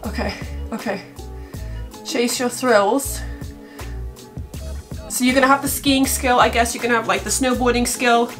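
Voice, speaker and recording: A woman with a stuffy, nasal voice from a cold, giving excitable, casual reaction commentary as a gaming vlogger. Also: on the high side, measured pace, pauses often, soft background music. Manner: excitable, exclaiming. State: has a bad cold.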